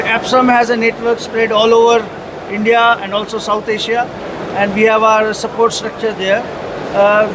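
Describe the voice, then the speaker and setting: A man moderating a panel at a trade event, speaking with frequent hesitations as he introduces others. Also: very high-pitched. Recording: clear background noise.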